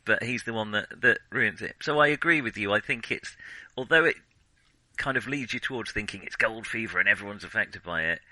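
A man's voice: lowish voice, fairly quick, sharp tone, dry recording, rough recording. A man co-hosting a film podcast, talking casually and hesitantly as he corrects himself.

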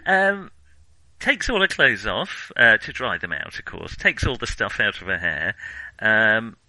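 A man's voice: on the low side, sing-song, measured pace, sharp tone, no room sound, rough recording. A man co-hosting a casual film discussion, analytical and feeling his way through his points. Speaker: podcast co-host.

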